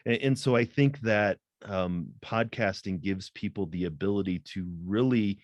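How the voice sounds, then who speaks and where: A middle-aged man, a guest on a podcast, speaking thoughtfully and deliberately with frequent "um" and "right?". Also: lowish voice, no room sound, clean studio sound. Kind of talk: interview conversation.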